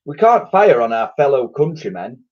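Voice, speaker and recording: A man's voice: mid-range voice, sing-song, moderate pace, a little mellow, lo-fi sound, voice pushed up. A man voicing a timid, nervous old private who hesitates and apologizes.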